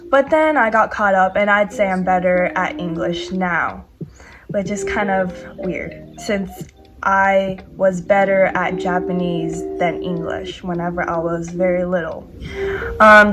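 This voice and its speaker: A woman's voice: on the low side, unhurried. A teenage girl from the United States chatting casually to camera, with lots of "like" and "um" fillers. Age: teens. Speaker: vlogger. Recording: faint background music.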